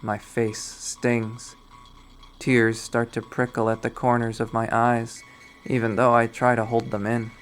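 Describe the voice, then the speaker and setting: Slow, brooding male reader. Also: mid-range voice, monotone, a little hiss or hum. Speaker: narrator reading a visual novel. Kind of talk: slow dramatic readthrough narration.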